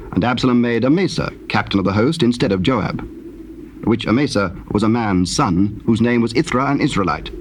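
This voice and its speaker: Male narrator for dramatized scripture, formal and measured, mid register, slightly hissy old cassette. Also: mid-range voice.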